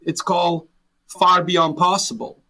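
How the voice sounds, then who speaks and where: A man's voice: high voice, a little slow, pushed and bright. A man in his forties from Serbia, speaking English with a Serbian accent as an interview guest, earnest and full of restarts. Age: 40s. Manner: earnest, with frequent restarts and fillers. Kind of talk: interview conversation.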